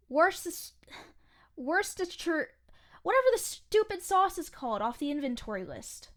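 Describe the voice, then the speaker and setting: A young woman playing a sarcastic, grumbling eighteen-year-old waitress in a fiction podcast, snippy and sardonic. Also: high voice. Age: young adult. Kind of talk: audio fiction podcast acting, conversational.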